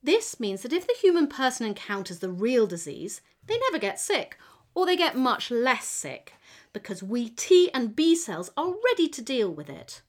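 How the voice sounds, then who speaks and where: A woman's voice: on the high side, very animated, normal speed. A woman playing a friendly, proud T cell gardener who explains things patiently in a children's educational audio story. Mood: reassuring, proud. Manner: explanatory, teacherly, encouraging.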